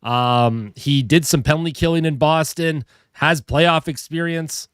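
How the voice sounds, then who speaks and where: A man's voice: on the high side, steady tone, unhurried, smooth, no room sound, clean studio sound. A young man with a head cold and a stuffed-up voice, chatting casually on a hockey live stream, greeting viewers and reading their comments. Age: young adult. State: a little tired.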